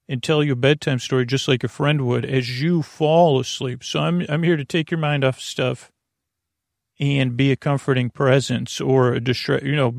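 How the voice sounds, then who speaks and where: A man with a creaky, gravelly voice at a middling pitch talks as a podcast host in a bedtime monologue. He meanders and halts, drifting off into tangents and leaving thoughts unfinished. The sound is close and dry. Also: no echo. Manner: meandering, halting, trails off into tangents.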